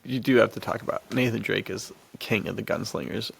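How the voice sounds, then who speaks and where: A man's voice: on the low side, fairly quick, creaky, fairly bright tone, breathy edge. A man co-hosting a podcast in casual, enthusiastic chat, gushing in slang and often laughing. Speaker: podcast co-host. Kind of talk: casual enthusiastic chat about action films.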